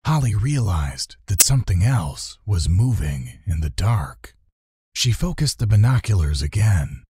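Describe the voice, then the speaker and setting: Male audiobook narrator, dark mellow tone, slow pace, suspenseful, theatrical, fantasy storytelling. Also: on the low side, husky, no room sound, clean studio sound. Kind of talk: dramatic scripted children's fantasy narration.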